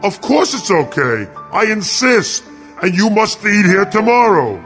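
A man's voice: sits high, sing-song, unhurried, music in the background, pitched above the performer's own voice. A man voicing a kindly middle-aged father who talks in a hearty, reassuring way.

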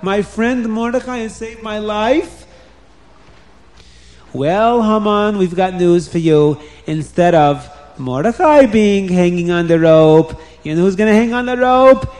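Man playing a loud, indignant storybook king. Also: on the high side, moderate pace, hardly any pauses, natural voice. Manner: exclaiming, emphatic, accusing. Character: blustering, indignant, hot-tempered king of a children's tale.

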